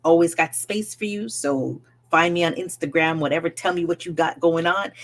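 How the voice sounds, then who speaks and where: Woman, storyteller, interview guest, expressive delivery. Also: lowish voice, normal speed. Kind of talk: casual interview talk.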